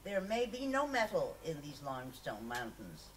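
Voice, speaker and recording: A woman's voice: deep voice, sing-song, normal speed. A woman reading a memoir aloud to an audience at a live event. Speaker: reader at a poetry festival. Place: live festival reading, picked up from a distance. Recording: distant mic, noisy live event recording.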